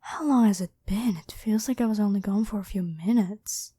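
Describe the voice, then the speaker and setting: An evil ancient pharaoh queen, acted by a woman, in a very dry, close sound. She is haughty and demanding, speaking at a middling pitch with theatrical command, as in an audio roleplay monologue. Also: moderate pace, dark tone, slightly rough, no echo, lightly acted. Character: evil, imperious ancient Egyptian pharaoh queen awakened from slumber. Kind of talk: scripted ASMR roleplay monologue.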